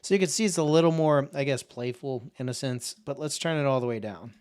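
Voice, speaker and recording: A man hosting a casual software tutorial, talking in a relaxed, informal way and thinking aloud as he clicks through settings. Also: medium pitch, a little fast, smooth. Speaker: tech tutorial host. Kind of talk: casual screen-share software walkthrough.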